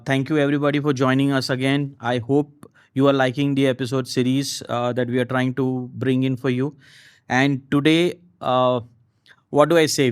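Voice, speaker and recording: A man's voice: medium pitch, clear, resonant tone, no room sound. Male podcast host, hesitant and affirming, dry close sound. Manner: hesitant, many fillers, warm affirmations. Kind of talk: interview hosting.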